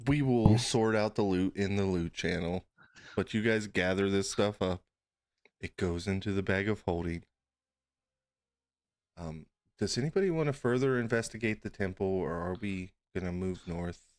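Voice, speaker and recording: A man's voice: deep voice, normal speed, darkish tone, no echo, clean studio sound. A man acting as game master, casually narrating a tabletop game and talking with the players.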